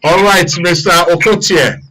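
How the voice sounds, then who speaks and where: A man's voice: sits high, a little slow, sharp tone, a little hiss or hum, rough recording. A man with a Nigerian accent hosting a live radio phone-in, speaking in a courteous, formal way. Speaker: radio host. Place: radio studio.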